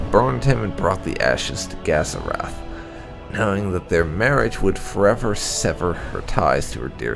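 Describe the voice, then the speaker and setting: A man narrating game lore notes aloud in a measured, storyteller-like way. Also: low-pitched, gravelly. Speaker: gaming video host.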